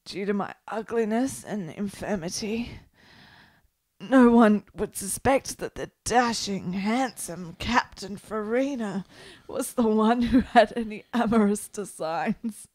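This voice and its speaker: A woman acting a frail, ailing, melancholy gentlewoman in a period radio play, with a halting, theatrical delivery. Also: mid-range voice, no room sound. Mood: subdued, bitter. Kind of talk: period radio drama dialogue.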